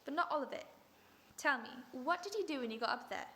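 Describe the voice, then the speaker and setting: A woman playing a sharp-witted female detective in an audio drama, questioning people with dry, ironic politeness. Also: high voice, lively intonation, quick pace, slight background noise. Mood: probing. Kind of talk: vintage-style radio drama acting.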